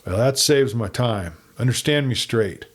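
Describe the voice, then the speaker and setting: A man reading aloud takes on a tough western lawman from a storybook. He is shrewd and unshaken, and gives orders bluntly in a mid-pitched voice. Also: lively intonation, measured pace, breathy edge. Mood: hard, steady. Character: shrewd, hard, steady male lawman in a western.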